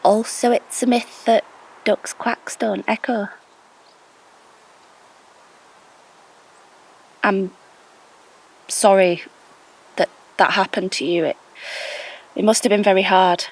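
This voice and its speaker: An actress playing a reflective, observant stranger narrating an audio drama in a measured, wistful way. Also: female voice, medium pitch, fairly even intonation, darkish tone, husky, rough recording. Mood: awkward sympathy. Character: reflective, observant stranger recalling a park bench encounter.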